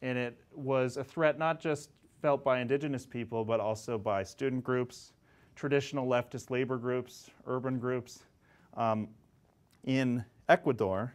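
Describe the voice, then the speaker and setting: A man's voice: medium pitch, measured pace. A man in his forties giving a conversational classroom lecture with asides to students, speaking on a lapel mic in a classroom. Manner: animated, gesturing, conversational with asides. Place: classroom in front of whiteboard.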